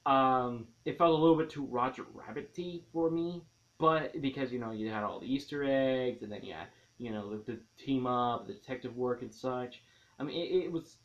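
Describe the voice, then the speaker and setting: A man presents a film review over slightly dull, lo-fi audio. His voice sits at a medium pitch, and he delivers opinions with enthusiasm, stretching and punching key words for dramatic effect. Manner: emphatic, dramatic stresses and drawn-out key words. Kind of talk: enthusiastic spoken movie review. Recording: dull, lo-fi sound.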